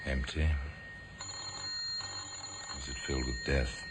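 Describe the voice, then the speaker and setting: A man narrating a radio drama in a measured, ominous way. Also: extremely low pitch, flat delivery. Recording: hissy old radio broadcast.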